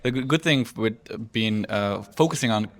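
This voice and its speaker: A man co-hosting a casual podcast interview, asking questions in a halting way, often repeating words and restarting sentences. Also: on the low side. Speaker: podcast co-host.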